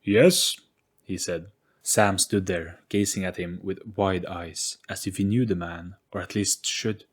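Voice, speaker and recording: A man's voice: low voice, flat delivery, unhurried, breathy edge. A man reading scripted narration for a storybook-style audio play.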